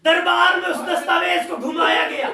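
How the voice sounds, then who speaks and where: A man giving an impassioned mourning sermon, declaiming and shouting his lament to a gathering. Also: extremely high pitch, level tone, normal speed, gravelly. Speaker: mourning reciter. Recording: echoey hall from far off, faint crowd.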